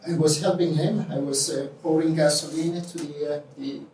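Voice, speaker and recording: A middle-aged man from Somalia with a Somali accent, telling his own story haltingly in an interview. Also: fairly high pitch, steady tone, darkish tone. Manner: halting, pieces sentences together. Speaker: interview guest, nurse and non-profit founder. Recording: roomy, distant mic, faint noise.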